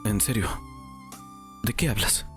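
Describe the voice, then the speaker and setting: A man's voice: lowish voice, lively intonation, measured pace, fairly bright tone. A man playing a puzzled young noble prince in an audiobook, questioning and bewildered. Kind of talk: audiobook character dialogue. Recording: music under the voice.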